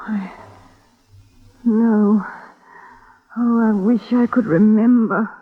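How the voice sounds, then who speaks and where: An actress playing a bitter, ill wife who speaks coldly in a 1950s radio drama. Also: female voice, a little high, fairly even intonation, extremely slow, husky, exaggerated voice. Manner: cold, bitter and clipped. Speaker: planter's sick wife. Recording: tinny old radio broadcast.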